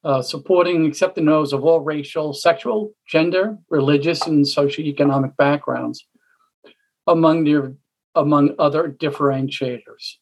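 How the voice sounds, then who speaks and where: A middle-aged woman speaking as a panelist, answering in a measured, thoughtful way with some halting pauses. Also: deep voice, darkish tone, breathy edge, rough recording.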